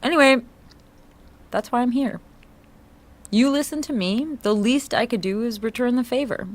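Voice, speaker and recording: An actress playing a blunt, probing woman agent with a sympathetic side in a naturalistic audio drama, terse and coaxing, with a husky voice. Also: fairly high pitch, moderate pace, close to an everyday voice. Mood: insistent. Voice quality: husky, creaky.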